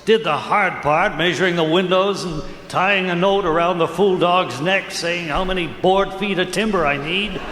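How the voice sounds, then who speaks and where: A man's voice: sits high, moderate pace, few pauses, a bit bright. A man playing a cranky, impatient old grandpa who grumbles in folksy exclamations, in an old-time radio comedy. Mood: grumpy, impatient. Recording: echoey, noisy old radio recording.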